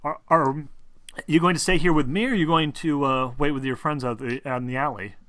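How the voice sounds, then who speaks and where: A man's voice: a little high, fairly quick, fairly bright tone, natural voice. A man voicing a nervous, fidgety woman in a tabletop game, speaking hesitantly with small stammers.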